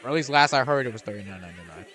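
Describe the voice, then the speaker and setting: A man's voice: fairly low pitch, animated, rapid-fire, a bit bright, slight background noise. A young man streaming a video game, chatting casually and rambling with restarts and fillers. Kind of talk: casual gameplay commentary.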